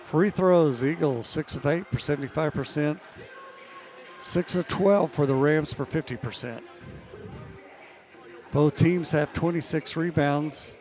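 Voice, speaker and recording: A man calling a women's college basketball game play-by-play, losing his voice and clearing his throat now and then. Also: on the high side, faint music behind. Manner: steady, measured calls that rise on big plays. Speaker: play-by-play announcer.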